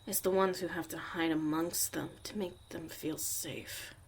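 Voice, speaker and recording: Low-voiced jaded witch played by a woman, noisy recording. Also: fairly even intonation, unhurried. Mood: wistful, resentful. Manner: sardonic, casual, swearing, dismissive. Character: jaded, blunt young witch mother surviving zombie apocalypse. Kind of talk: ASMR roleplay, soft intimate monologue.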